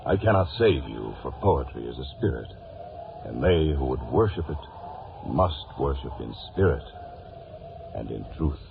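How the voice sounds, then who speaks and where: A man playing a solemn, ghostly great poet of old, grave, oracular and gravelly, in a 1950s radio drama. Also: very deep voice, lively intonation, moderate pace, music in the background, heavily acted. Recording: tinny, noisy vintage radio recording.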